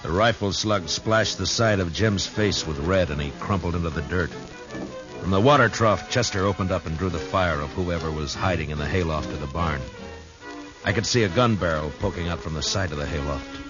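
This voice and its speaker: A man playing a steady, stoic frontier marshal, terse and calm, in a 1950s radio western. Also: deep voice, brisk, natural voice. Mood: grim narration. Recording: dull lo-fi old radio recording, music.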